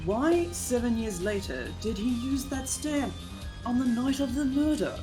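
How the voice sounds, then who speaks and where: Smug, pompous video game prosecutor, woman performer, high animated voice, condescending drama, fan-dub feel. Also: a little slow. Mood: arguing. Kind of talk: live amateur fan dub of a courtroom game. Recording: game music behind the voice.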